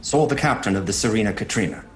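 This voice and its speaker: An actor playing an earnest Victorian gentleman who reads out his journal in clipped entries in a radio drama. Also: fairly low pitch, fast, lightly acted. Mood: grim. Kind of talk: dramatic radio-play narration. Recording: noisy sound-effect ambience behind.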